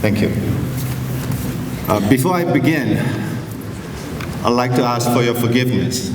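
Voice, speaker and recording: Man, Chinese accent, earnest and self-deprecating, long echo, distant mic. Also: mid-range voice. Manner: earnest, gently self-deprecating, many pauses and fillers. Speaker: teacher giving a graduation speech. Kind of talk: inspirational speech to students. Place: large school assembly hall. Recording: echoey hall from far off with noise.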